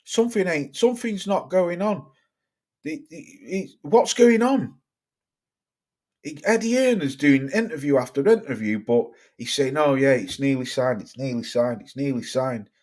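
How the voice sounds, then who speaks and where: A man's voice: a little high, lively intonation, normal speed, a little mellow. A young man from Cheshire with a mixed Cheshire and Stoke accent, hosting a casual livestream, reading viewer comments and chatting bluntly with plenty of swearing. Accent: Cheshire English mixed with Stoke. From Cheshire, England. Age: young adult. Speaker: livestream host.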